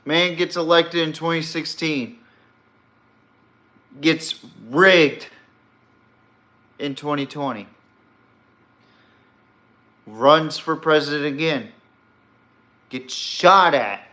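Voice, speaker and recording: A man's voice: on the high side, extremely slow, pauses often, pushed and bright. A man hosting a podcast, giving casual, opinionated commentary in a rambling, blunt and emphatic way. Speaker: sports podcast host.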